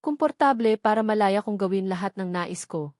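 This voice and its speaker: A woman's voice: medium pitch, lively intonation, moderate pace, darkish tone, dry recording, natural voice. An amorous, possessive Filipino fiancé, read by a woman narrator in a romance audiobook. He is urgent and tender, mixing Tagalog with English endearments, and the sound is close and dry. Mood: pleading desire.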